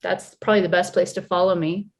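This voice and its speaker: A woman's voice: lowish voice, fairly even intonation, fast. A woman chatting casually as an interview guest, playful and teasing with dry jokes. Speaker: voice actor guest.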